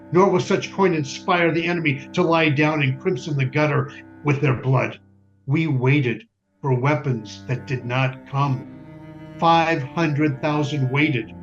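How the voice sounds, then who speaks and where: Actor as bitter male survivor-narrator, stage drama; highish voice, grave and declamatory, slightly muffled lo-fi sound. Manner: grave, deliberate, declamatory, with emphatic lists. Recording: dull, lo-fi stage recording. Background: music.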